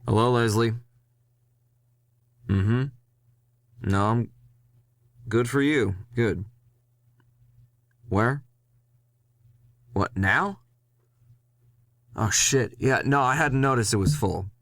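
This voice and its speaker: A man playing a weary, hard-boiled ghost hunter in a noir horror audio drama, gravelly, brooding and confessional. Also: medium pitch, extremely slow, pauses often, fairly bright tone, no room sound, studio quality. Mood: exasperated. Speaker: narrator and lead character.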